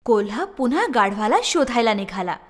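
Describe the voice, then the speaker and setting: A woman narrating a children's fable in a high, very lively voice that rises and falls expressively. Her storytelling is sing-song, and a long reverb trails behind each line. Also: fairly quick.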